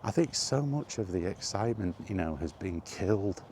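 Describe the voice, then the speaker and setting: A man's voice: deep voice, lively intonation, normal speed, warm tone, slight background noise. A man rambling casually in a weary, grumbling way, as in a freewheeling solo vlog. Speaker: vlogger. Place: walking while talking, ambient noise behind.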